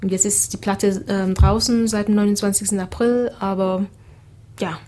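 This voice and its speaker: Woman, flat even speech. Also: mid-range voice, normal speed, a little hiss or hum. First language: Creole. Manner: relaxed, hesitant with many fillers. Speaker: singer guest. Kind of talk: casual interview answers.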